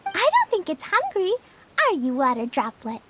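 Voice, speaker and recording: A woman's voice: extremely high pitch, huge pitch swings, normal speed, a bit bright, full character voice. A woman playing a small, kind and eager little girl in a video game, with a sweet, childlike voice. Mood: curious, innocent. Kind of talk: video game cutscene voice acting. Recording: music behind the voice.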